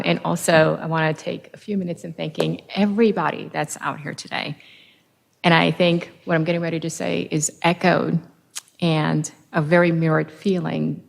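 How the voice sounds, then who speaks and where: A woman's voice: low-pitched, vocal fry. A woman guest speaker in a recital hall, giving a formal thank-you speech and addressing the audience directly.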